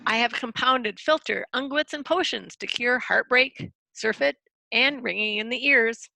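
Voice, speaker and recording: A woman plays a proud royal wizard with a bright, crackly voice. The delivery is grand and declamatory, as in a staged storybook reading, and quick to defend past feats. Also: fairly high pitch, creaky, no room sound, heavily acted. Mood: boastful. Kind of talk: readers theater storybook reading.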